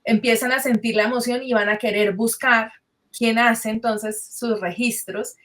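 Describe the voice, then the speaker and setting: A woman hosting a podcast interview, enthusiastic and halting, restarting her sentences as she frames a question. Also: fairly high pitch, steady tone, a bit bright. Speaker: podcast host.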